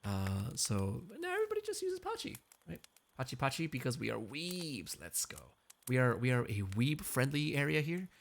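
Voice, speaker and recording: A man's voice: medium pitch, huge pitch swings, normal speed, creaky, slight background noise. A man streaming karaoke, chatting casually between songs, joking and reading chat messages aloud with a playful manner. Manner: joking, reads chat aloud, frequent laughs. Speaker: VTuber streamer.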